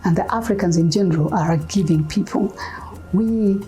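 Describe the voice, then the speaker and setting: A woman's voice: lowish voice, dark tone, faint music behind. A woman from Kenya speaking earnestly in a documentary interview about mission work. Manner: earnest, measured, appealing. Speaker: mission organization leader.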